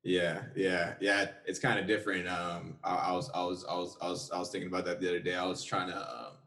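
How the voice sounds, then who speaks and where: Low-voiced man talking in quick bursts. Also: little pitch movement, bright, forceful tone. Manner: halting, repetitive, informal. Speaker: podcast host. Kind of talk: casual chat.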